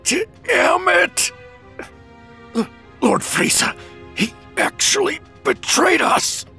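A man playing a hot-blooded, defiant warrior in an English anime game dub, with a gruff, strained voice and intense, forceful delivery. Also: very high-pitched, animated, extremely slow, faint music behind, heavily acted. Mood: angry disbelief.

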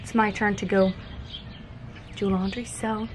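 A woman's voice: medium pitch, flat delivery, a little slow. Young woman chatting to her camera, cheery and chatty, noisy outdoors. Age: young adult. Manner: cheerful, chatty, laughs easily. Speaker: vlogger. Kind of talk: casual vlog narration. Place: outdoors at home and in a laundromat. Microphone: handheld camera at arm's length.

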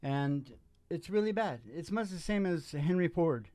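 A middle-aged man hosting a show, telling opinionated, digressive stories casually and haltingly. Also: fairly high pitch, lively intonation, warm tone. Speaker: host of a music and storytelling show. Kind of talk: rambling casual storytelling between songs. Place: small dim stage with curtains. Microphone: microphone on a stand.

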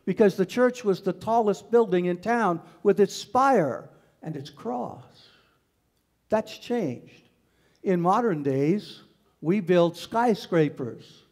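Brief: older male pastor, higher voice, slow steady sermon delivery, large sanctuary. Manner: slow, measured preaching with repeated phrases. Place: large church sanctuary with tall windows.

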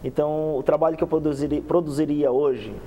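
A man's voice: a little high, long reverb tail, muffled recording, slight background noise. A young man speaking as a guest in a TV studio interview, explaining with many restarts, on a lapel mic. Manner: fast, animated explaining with many restarts and hand gestures. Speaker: guest researcher. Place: TV studio set.

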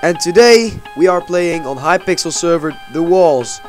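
A man's voice: a little high, expressive, normal speed, faint music behind. A man casually commenting on a block-building game as channel host, chatty and narrating what he does for his viewers. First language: Dutch. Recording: faint background noise, mouse clicks.

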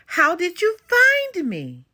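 A woman's voice: very high voice, wildly swooping pitch, slow pace, pushed and bright, voice pushed up, full character voice. Woman doing a flustered, anxious papa bear. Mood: pleading. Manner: anxious, stammering. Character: flustered, self-conscious male papa bear with stage fright. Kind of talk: children's storybook read-aloud.

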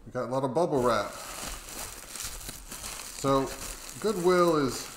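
A man's voice: mid-range voice, slow pace, slight background noise, rough recording. A middle-aged American man narrating a casual vlog in a wry, conversational way. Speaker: audio gear YouTuber.

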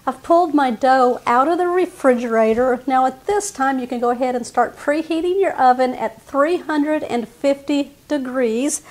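A woman, giving friendly step-by-step baking instructions in her home kitchen. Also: high-pitched. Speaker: cooking channel host. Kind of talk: casual cooking demonstration.

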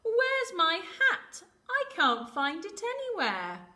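A very high, squeaky storybook chick, young, male and not too bright, acted out by a woman. He frets and exclaims in a bright, swooping panic. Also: wildly swooping pitch, slow, heavily acted. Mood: worried. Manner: frantic, exclaiming.

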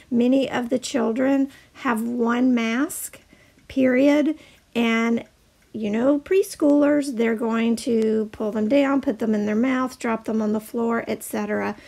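Higher-voiced woman with a strongly creaky edge. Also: steady tone, slow pace, darkish tone. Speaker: church member making a community announcement. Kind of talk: casual spoken appeal.

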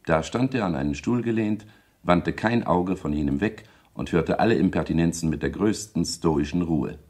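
A sober commentator in a literary radio play. His voice is very deep with a husky grain. He tells things in measured, literary sentences. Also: darkish tone.

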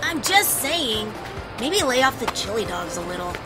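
A woman voicing an eager, sweet little boy fox sidekick, earnest and excitable, in a cartoon dub. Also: on the high side, moderate pace, over loud music. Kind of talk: animated comic dub.

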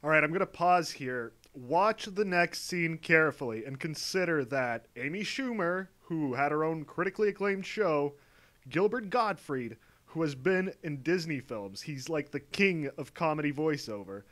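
A man's voice: fairly high pitch, expressive, moderate pace, a bit bright. A man giving a sarcastic commentary as a video essay host, dry and mocking.

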